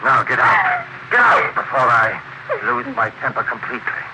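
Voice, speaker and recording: An actor playing a volatile, desperate husband turned murderer in a 1940s radio drama, intense and melodramatic. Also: male voice, medium pitch, flat delivery, moderate pace, natural voice. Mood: menacing anger. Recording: very noisy vintage 1940s radio recording.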